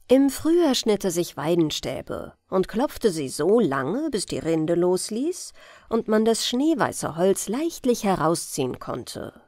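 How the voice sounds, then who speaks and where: A woman with a German accent reading a fairy tale aloud in a calm, gentle bedtime style, in a lilting storyteller manner. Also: fairly low pitch, dry recording, clean studio sound. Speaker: audiobook reader.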